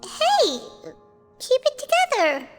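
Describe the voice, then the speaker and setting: A woman voicing a tiny, cheeky, childlike fairy companion in a video game, brash and squeaky. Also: wildly swooping pitch, slow pace, full character voice. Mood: alarmed. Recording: background music over game voice.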